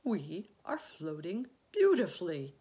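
A woman voicing a kindly old male green grasshopper, measured and courteous, in a children's storybook. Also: lowish voice, wildly swooping pitch, warm tone, breathy edge, full character voice. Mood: calm, reassuring. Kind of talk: children's storybook read aloud.

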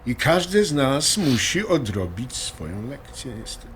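A man's voice: mid-range voice, very animated, measured pace, fairly bright tone, slight background noise. A man playing a patient husband in an audio drama, speaking in a colloquial, conversational way. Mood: reassuring. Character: Krzysztof, patient, reassuring husband in couples therapy.

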